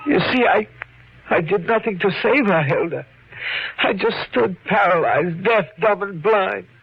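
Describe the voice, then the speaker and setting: An actor playing a guilt-ridden, anxious small-town shopkeeper who tells his story haltingly in 1940s radio mystery style. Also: high-pitched, animated, creaky, breathy edge, dry recording, heavily acted. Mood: haunted, guilt-stricken. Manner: haunted, halting, repeating words for dramatic effect. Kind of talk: 1940s radio mystery acting, confessional narration. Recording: muffled, noisy 1940s radio recording.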